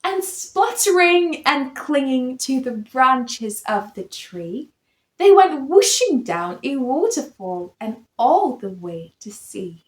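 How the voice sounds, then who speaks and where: High-pitched, animated woman storyteller. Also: takes it slowly. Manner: expressive, theatrical storytelling. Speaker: children's story reader. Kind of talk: animated read-aloud of a picture book. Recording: roomy echo.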